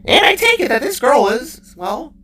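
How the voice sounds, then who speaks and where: Tiny talking cube sidekick, super high voice, chatty n teasing, fantasy story. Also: male voice, moderate pace, distant mic, heavily acted. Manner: excitable, wordy, teasing. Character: chatty playful talking cube companion, male. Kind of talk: animated fantasy audio story dialogue.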